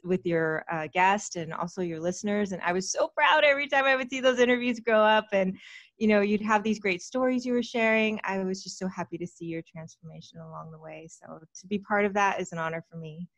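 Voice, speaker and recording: A woman's voice: medium pitch, brisk, dry recording. A woman in her thirties from Texas, telling her life story openly as a podcast guest, with fillers and small laughs. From Texas, United States. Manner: chatty and open, with frequent fillers and small laughs. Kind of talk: candid personal storytelling in an interview. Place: small room at home, indoors. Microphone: large studio mic with foam cover, close.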